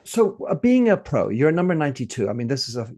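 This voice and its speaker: A man's voice: fairly high pitch, big pitch swings, fast, mellow. Rambling male podcast host. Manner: rambling, self-interrupting questions. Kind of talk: conversational interviewing.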